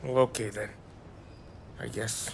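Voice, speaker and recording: An actor playing a rowdy, boastful American gangster who often drinks, telling crude stories in a video game. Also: male voice, on the low side, unhurried, vocal fry, very noisy. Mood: drunk, sulky. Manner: crude, animated storytelling. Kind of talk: video game cutscene acting.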